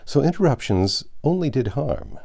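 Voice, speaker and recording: A man reading a classic novel aloud, animated and shifting between the narrator and the characters. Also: medium pitch, normal speed, creaky, husky. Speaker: audiobook reader.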